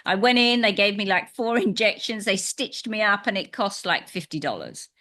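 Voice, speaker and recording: Aussie woman in her 50s, bright voice, animated storyteller on a podcast. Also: mid-range voice, normal speed. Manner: animated storyteller, acts out her own reactions. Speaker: podcast guest. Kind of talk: casual conversation.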